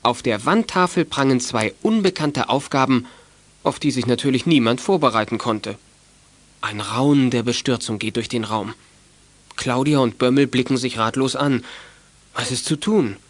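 A man plays the composed narrator of a children's audio drama. His voice sits at a middle pitch. The delivery is scripted storytelling. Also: moderate pace, no room sound, lightly acted. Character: composed male storyteller of a youth detective tale.

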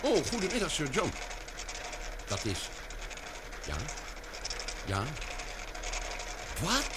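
An eager, deferential newspaper editor in a radio play. His speech is halting and slow overall but swings widely in pitch, against loud machine-like clatter. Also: exaggerated voice. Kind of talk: Dutch radio drama acting. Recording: very noisy room with machinery clatter.

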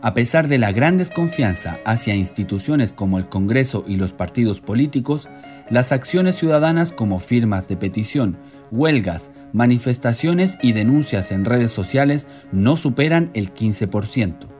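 A man's voice: medium pitch, expressive, normal speed, a little mellow. A man reading scripted, informational radio narration. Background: music.

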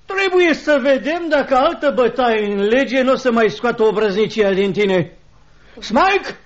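An actor playing a cruel, gloating schoolmaster in a theatrical radio drama, pompous and bullying with a mock-jovial manner. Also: male voice, very high-pitched, lively intonation. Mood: menacing, bullying. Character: cruel, gloating schoolmaster tyrant, a grown man. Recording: dull lo-fi radio play recording.

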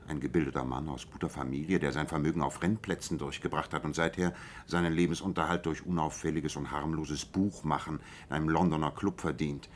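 A low-pitched, self-assured detective in a radio play. He lays out his reasoning in a measured, precise way, over a bed of light background noise. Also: steady tone. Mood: analytical, assured. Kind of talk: German radio play dialogue.

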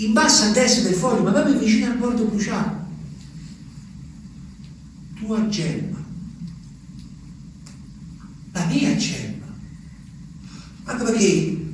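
An actor playing a simple, haunted olive farmer, telling his story haltingly and intimately in a radio drama monologue. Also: high-pitched, slight background noise. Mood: subdued, moved. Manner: halting, repetitive, intimate storytelling addressed to a listener. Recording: echoey, distant, music under the voice. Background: music.

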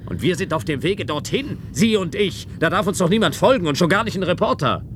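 Dutiful official, higher-pitched voice, formal, insistent, radio drama, clear vehicle noise. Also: male voice, animated, brisk. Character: dutiful, rule-bound official on a secret mission. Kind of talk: German science-fiction radio play acting. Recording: noisy radio play with engine rumble.

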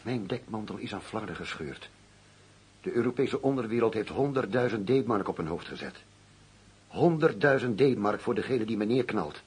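A man's voice: on the low side, breathy edge, full character voice. An actor playing a hunted, world-weary former undercover policeman in a radio drama, grave and brooding.

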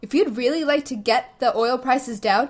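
An actress playing a level-headed young adult daughter who calmly reasons and lectures her father in an audio play. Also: high voice, drawn-out words, heavily acted. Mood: reasoning.

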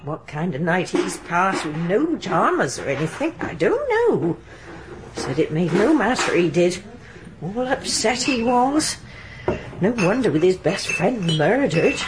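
A gossipy, homely woman innkeeper who chatters on with a very lively, sing-song voice. Clear hiss sits under the old broadcast recording of this radio play. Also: lowish voice, measured pace. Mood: chatty. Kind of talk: radio drama acting. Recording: archive radio drama with steady hiss.

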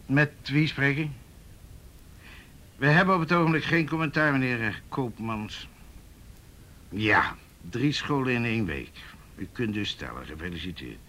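An actor playing a weary, wry, middle-aged police officer in a Dutch radio play, dry and hesitant in manner. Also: male voice, mid-range voice, expressive, measured pace, long pauses, sharp tone. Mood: irritated. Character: weary, wry older male police officer in youth affairs.

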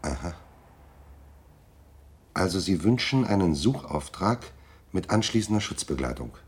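An actor playing a dry, businesslike private detective who asks matter-of-fact questions in a naturalistic radio drama. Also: male voice, low voice, lively intonation, unhurried, slightly rough, full character voice. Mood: businesslike, probing. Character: businesslike, probing male private detective taking on a case.

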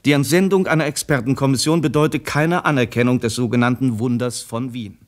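An official male announcer played by an actor reads news agency reports in a radio drama. His delivery is formal and factual, at a middling pitch. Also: steady tone, fairly quick, lightly acted.

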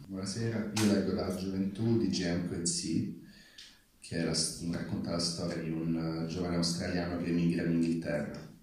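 Low-voiced man reading in a very echoey hall. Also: flat delivery, moderate pace, darkish tone. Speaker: reader at a literary event. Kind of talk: reading literary prose aloud.

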